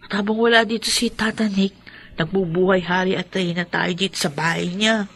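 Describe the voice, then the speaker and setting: A woman's voice: medium pitch, steady tone, brisk, a bit bright, slight background noise, natural voice. A woman playing a sly, fretful young housemaid in a radio drama, with a whiny way of talking.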